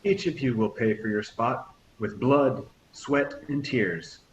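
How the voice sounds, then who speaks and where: A warm but commanding captain in his fifties, read by a man addressing a crowd in measured tones. The audio is roomy, dull and lightly hissy. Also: medium pitch, close to an everyday voice. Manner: speechmaking, measured. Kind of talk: table read. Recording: echoey, muffled, hissy audio.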